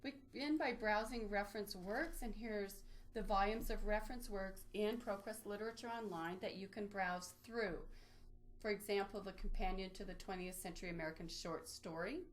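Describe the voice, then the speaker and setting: A woman's voice: medium pitch, normal speed. Woman guiding a step-by-step tutorial. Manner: explanatory, step-by-step, unscripted. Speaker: librarian giving a database tutorial. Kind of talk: informal instructional screen-share walkthrough.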